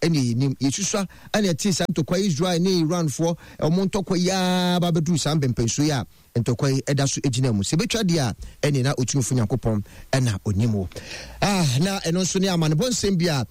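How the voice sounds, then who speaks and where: A man reading the news on the radio in a measured way. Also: on the high side, lively intonation, darkish tone, no echo, studio quality.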